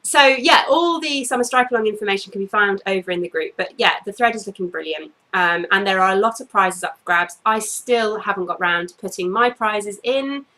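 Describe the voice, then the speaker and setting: A woman in her thirties talks casually to camera from her bedroom, a bit sniffly. Her voice is bright and clear, and she rambles off on tangents, apologizing with frequent ums. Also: medium pitch, fairly quick, few pauses, low-quality recording. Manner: chatty, apologetic, goes off on tangents with many ums. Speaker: knitting podcast host. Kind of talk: casual video podcast chat. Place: bedroom at home, indoors.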